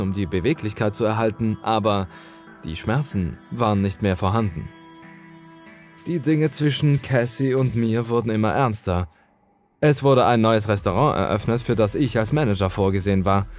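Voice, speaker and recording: A man's voice: on the low side, normal speed, darkish tone, faint music behind. A man reading a scripted first-person horror story as a dramatic narrator.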